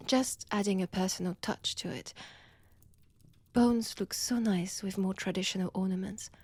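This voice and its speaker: A woman with a French accent playing a seductive, predatory vampire in an intimate audio roleplay, breathy and teasing, often dropping toward a whisper. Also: mid-range voice, steady tone, darkish tone, no room sound, close to an everyday voice. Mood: mock-polite, teasing. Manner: slow, teasing, menacing, deliberate pauses. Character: seductive, predatory female vampire toying with her captive.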